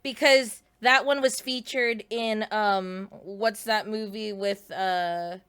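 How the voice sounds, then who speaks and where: A woman's voice: medium pitch, fairly even intonation, slow, a bit bright, clear, resonant tone. A woman co-hosting a casual podcast, asking curious questions and trailing off as she thinks. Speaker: podcast co-host. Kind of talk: casual chat.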